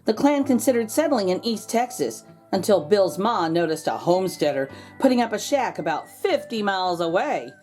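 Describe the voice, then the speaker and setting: A woman's voice: mid-range voice, very animated, moderate pace, hardly any pauses, a little mellow, faint music behind. A woman reading a children's picture book aloud expressively, drawing out key words for emphasis. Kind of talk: expressive storybook read-aloud.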